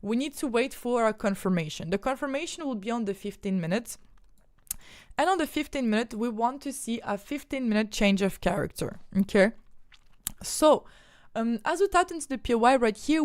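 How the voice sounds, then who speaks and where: A woman's voice: on the high side, dry recording, clean studio sound. A young woman from Ukraine with a mixed French and Ukrainian accent, explaining trading charts on a live stream and answering viewers' questions. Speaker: trading educator.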